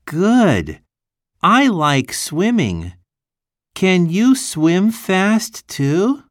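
A woman voicing a friendly young schoolboy from Japan, in a slow, carefully enunciated listening-test dialogue. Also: on the low side, very animated, no room sound, studio quality, exaggerated voice.

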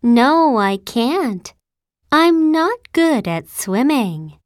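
A woman voicing a cheerful young schoolgirl from Italy, in a slow, clearly articulated listening-test dialogue. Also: sits high, big pitch swings, no echo, studio quality, full character voice.

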